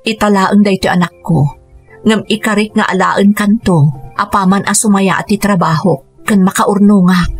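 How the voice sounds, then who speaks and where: A woman's voice: medium pitch, steady tone, moderate pace, breathy edge, soft background music. A woman playing an earnest, self-sacrificing young mother who speaks pleadingly in a radio drama. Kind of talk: Ilocano radio drama acting.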